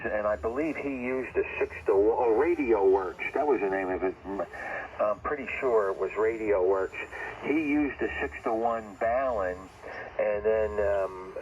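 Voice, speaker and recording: A man with a fairly low voice comes through a dull, slightly noisy radio link. He talks as a ham operator in a casual, rambling way, often restating what he has just said. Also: a little mellow. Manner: rambling, conversational, repeats himself. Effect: shortwave radio.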